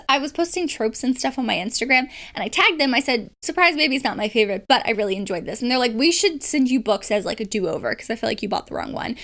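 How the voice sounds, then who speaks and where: A woman's voice: high-pitched, sharp tone. A woman chatting casually about books in an informal, enthusiastic way, like a book vlogger. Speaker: book vlogger and readalong host.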